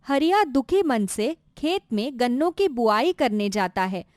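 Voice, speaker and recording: High-pitched, lively woman storyteller. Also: unhurried, dry recording, studio quality. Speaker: storyteller, narrator. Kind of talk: scripted narration of a children's moral story.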